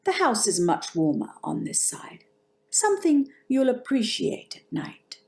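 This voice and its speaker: A woman's voice: fairly high pitch, very animated, unhurried, in a whisper. Radio drama housekeeper, played by a woman: grand-house formality, icy deference, tightly restrained and clipped. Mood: cool, formal. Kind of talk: old-time radio drama acting.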